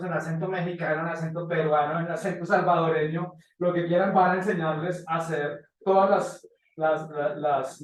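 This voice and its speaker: Guy teaching a class, kinda high voice, super echoey room, mic far away. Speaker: motion graphics teacher. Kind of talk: informal lecture to a class. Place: indoor classroom. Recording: echoey classroom, distant microphone.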